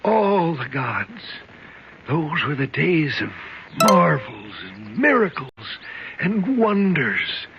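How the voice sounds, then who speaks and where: A man's voice: on the high side, sing-song, slow pace, heavily acted. An actor playing an older male convict who claims centuries of escapes, earnest, dreamy and rambling. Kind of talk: 1970s radio drama acting. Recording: muffled old radio recording, faint hiss.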